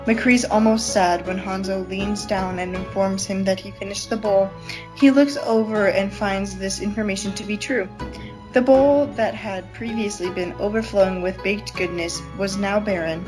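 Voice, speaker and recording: A woman's voice: mid-range voice, steady tone, moderate pace, music in the background, poor audio. A woman podcast host reading fan fiction aloud as an amateur narrator.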